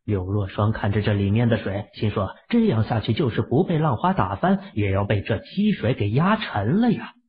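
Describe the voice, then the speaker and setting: A man narrates an audio drama with steady storytelling pacing. His voice is low-pitched, husky and breathy. The recording sounds muffled and lo-fi. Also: normal speed, few pauses.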